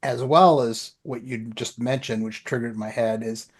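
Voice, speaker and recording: A man's voice: medium pitch, steady tone, normal speed, breathy edge. A man chatting as a podcast host in an informal, loosely anecdotal, conversational way. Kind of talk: casual conversational chat.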